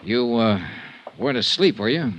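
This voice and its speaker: An actor playing a cynical, world-weary insurance investigator with an American accent in an old-time radio detective drama. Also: male voice, mid-range voice, animated, moderate pace, a bit bright, slight background noise, lightly acted. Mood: cool, probing. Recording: vintage radio recording, faint music.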